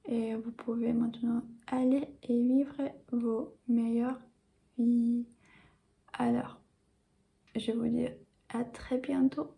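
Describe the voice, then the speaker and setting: A young woman speaks French as a learner, in a casual video-diary way. She talks very slowly with a fairly high, mellow voice. She pauses often, fills gaps and restarts her sentences. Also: flat delivery, creaky. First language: not French. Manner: hesitant, many fillers and restarts. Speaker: vlogger.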